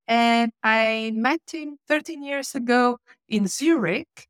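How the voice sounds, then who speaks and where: A woman's voice: on the high side, clean tone, dry recording. Woman speaking in a dry, close sound. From Switzerland and southern Italy. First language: Italian. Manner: explanatory, steady, frequent pauses with "uh". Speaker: guest, change and communication manager. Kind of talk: podcast interview.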